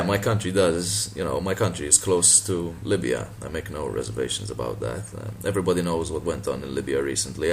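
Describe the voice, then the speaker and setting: Deep-voiced Maltese man, sardonic. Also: gravelly, slight background noise. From Malta. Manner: sardonic, laughs often, swears casually. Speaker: boxing channel vlogger. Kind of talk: casual response video.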